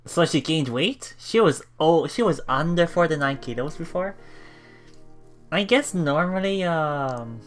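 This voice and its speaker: Animated visual novel let's player, lively pitch. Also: unhurried. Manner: animated, reactive, laughs often. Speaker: let's play streamer. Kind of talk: visual novel read-aloud with casual commentary. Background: game music.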